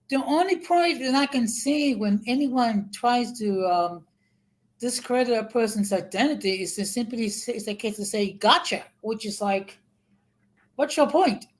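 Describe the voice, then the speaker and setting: A woman in a casual online talk, explaining her views in a measured, emphatic way, point by point. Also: fairly high pitch. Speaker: interview partner. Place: New York.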